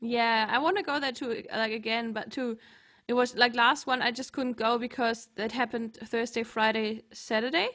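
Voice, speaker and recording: Young woman from Germany, fairly high voice, filler-heavy and giggly, close desk mic on a video call. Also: steady tone, moderate pace, a bit bright. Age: young adult. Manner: many fillers, giggles often. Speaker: guest, teacher. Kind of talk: casual video-call interview. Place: home room. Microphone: headset with large desk mic.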